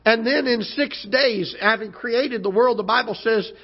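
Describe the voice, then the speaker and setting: A pastor with a hoarse, recovering voice preaching a sermon in a church auditorium, halting and repeating words, then rising as he preaches. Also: extremely high pitch. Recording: dull lo-fi church broadcast.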